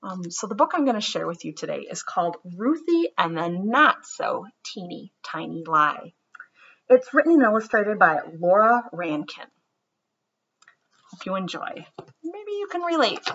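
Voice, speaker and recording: A woman giving a gentle, reflective introduction, then reading a children's book aloud. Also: mid-range voice, big pitch swings, unhurried, breathy edge. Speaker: read-aloud presenter. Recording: slightly muffled recording.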